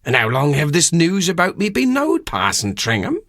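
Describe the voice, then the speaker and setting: A man's voice: fairly high pitch, wildly swooping pitch, normal speed, a bit bright, dry recording, clean studio sound, voice pushed up, full character voice. An actor voicing a middle-aged, naive and self-important country man with a West Country English accent and a wondering, wheedling manner, in a literary audiobook. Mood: wondering, puffed up. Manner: rustic dialect, wondering and wheedling.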